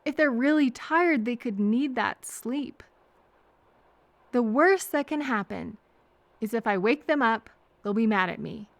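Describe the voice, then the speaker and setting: A woman playing a kind, anxious, chatty traveler who gently overthinks and thinks aloud in a hesitant, earnest audio roleplay. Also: fairly high pitch, lively intonation, a little slow, gravelly, pauses often, dry recording. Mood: anxious, dithering.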